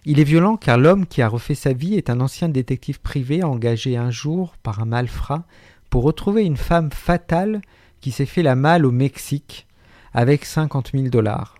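A man's voice: medium pitch, expressive, moderate pace, a little mellow, no echo, studio quality. A man presenting a radio book column in a wry, articulate way, with frequent hesitations. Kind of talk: radio literary chronicle, partly read.

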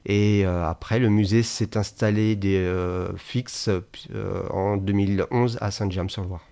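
A man from Angers speaks in a fairly low voice. He talks spontaneously in an interview and hesitates often, filling pauses and restarting his sentences as he explains his collection. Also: fairly even intonation, gravelly, mellow. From France, Angers. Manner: hesitant, many fillers and restarts. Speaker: museum founder, association member. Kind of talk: radio interview, spontaneous speech.

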